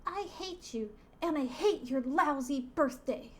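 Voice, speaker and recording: A woman's voice: high-pitched, measured pace, pitched above the performer's own voice. A woman voicing an earnest, timid little boy from a comic she is reading aloud. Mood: indignant. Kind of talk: acting out comic panels. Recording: noticeable background noise.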